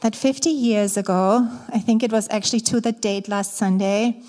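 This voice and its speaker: Middle-aged German woman, guest preacher, mid-pitched, speaks openly with light fillers, long church-hall reverb. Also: fairly even intonation. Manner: warm, candid, slightly halting with fillers. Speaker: guest speaker, ministry staff. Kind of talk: sermon to a church congregation. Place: church sanctuary.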